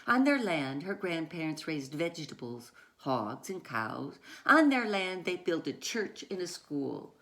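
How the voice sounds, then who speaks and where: A woman who writes children's books, reading aloud and chatting in a storytime style, with deliberate, emphatic phrasing. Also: sits low, very animated, fairly bright tone. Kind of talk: storytime read-aloud with informal asides.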